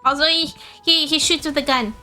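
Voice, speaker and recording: Female game streamer, bright higher voice, chatty and playful, faint music behind. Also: fairly even intonation, a little fast. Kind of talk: casual live-stream chat.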